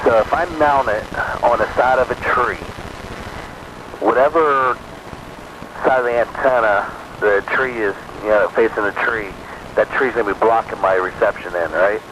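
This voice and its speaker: A man with a fairly low voice and an American accent talks casually over two-way radio. Clear background noise sits under his voice, and his delivery is somewhat halting and informal. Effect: two-way radio transmission. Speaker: amateur radio operator on a group net.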